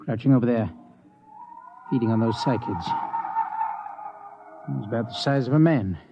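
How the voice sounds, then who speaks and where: An actor playing a knowledgeable, steady hunting guide in a 1950s radio drama, matter-of-fact with a wry, scornful edge. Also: male voice, mid-range voice, moderate pace, heavy background noise, close to an everyday voice. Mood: instructing.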